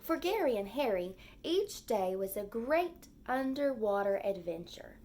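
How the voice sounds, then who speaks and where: A woman teacher speaking to young children in simple, clearly phrased language, as in a storytime lesson with reading aloud and explaining. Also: on the high side, big pitch swings, unhurried, darkish tone, slight background noise.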